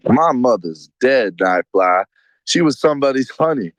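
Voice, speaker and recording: A man's voice: medium pitch, animated, measured pace, dry recording, close to an everyday voice. A man playing a bitter, confrontational caller berating a DJ in an amateur radio drama. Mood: scornful. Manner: blunt, sarcastic, confrontational.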